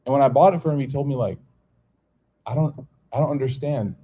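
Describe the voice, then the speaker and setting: A man's voice: medium pitch, fairly quick, breathy edge. A middle-aged man with an American accent gives an informal sermon to a live audience, telling stories, acting out the dialogue and laughing often. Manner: animated storytelling, acts out dialogue, laughs often.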